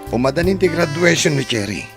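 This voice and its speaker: A man's voice: a little high, animated, a little fast, vocal fry, over music. A man playing an inquisitive, teasing young buddy in a radio drama. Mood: teasing, curious.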